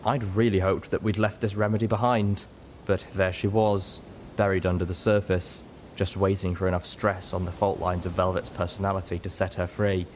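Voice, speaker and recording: A man narrating a dramatic first-person story in a measured, grave and emotive manner. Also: low-pitched, flat delivery, darkish tone, a little hiss or hum. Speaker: audiobook narrator.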